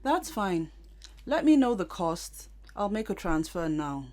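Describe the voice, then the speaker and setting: A woman playing a composed, supportive businesswoman in an audio drama, measured, polite and matter-of-fact. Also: mid-range voice, very animated, darkish tone. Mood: businesslike.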